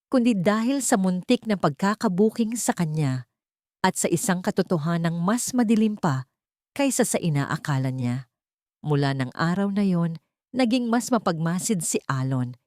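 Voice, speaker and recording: A woman's voice: on the low side, expressive, moderate pace, warm tone, dry recording, studio quality. A woman narrating a scripted dramatic story as an audiobook storyteller.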